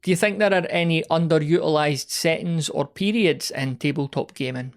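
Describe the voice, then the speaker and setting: A man's voice: fairly high pitch, normal speed. A man reading out prepared questions as a podcast interviewer.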